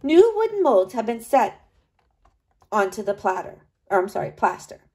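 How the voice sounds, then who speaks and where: A woman voicing a diligent, observant woman assistant in a sculptor's workshop, in a steady descriptive storybook reading. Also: mid-range voice, moderate pace, close to an everyday voice.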